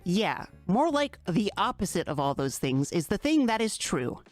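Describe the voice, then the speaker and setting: A woman's voice: medium pitch, sing-song, moderate pace, a little mellow. A woman voicing a skeptical, exasperated teenage boy in a fan-dubbed comic chat, dry, wordy and argumentative. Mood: teasing. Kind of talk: fandub of a webcomic chat. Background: music.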